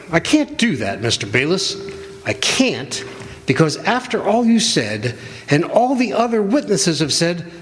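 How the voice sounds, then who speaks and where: An earnest, crusading newspaperman played by a male actor, with a fairly high voice that rises and falls dramatically. He speaks slowly and imploringly. The radio-play performance rings through a large hall. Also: fairly bright tone, slight background noise, full character voice. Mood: resolute. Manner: insistent, imploring. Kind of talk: old-time radio drama acting. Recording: echoey hall stage recording.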